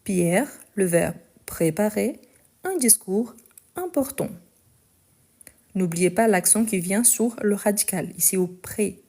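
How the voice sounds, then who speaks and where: A woman teaching French in a live lesson, dictating each sentence slowly and patiently explaining the conjugation rules. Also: medium pitch, expressive, dark tone. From Portugal. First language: Portuguese.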